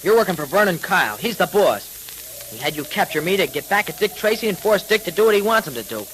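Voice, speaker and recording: A man's voice: sits high, sing-song, quick pace, a bit bright. A male American actor playing a plucky, earnest captive boy in 1930s radio style. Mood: anxious, questioning. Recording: noisy, hissy 1930s radio transcription.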